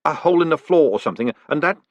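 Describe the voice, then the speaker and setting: A man voicing a panicked young male con man who talks in frantic, high-strung bursts. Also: very animated, fairly quick, a bit bright, dry recording, dull audio. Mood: rattled.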